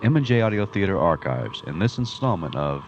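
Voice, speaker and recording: A man's voice: sits low, sing-song, fairly quick. A man announcing a scripted outro and disclaimer for an audio comedy show. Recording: dull, slightly noisy lo-fi audio.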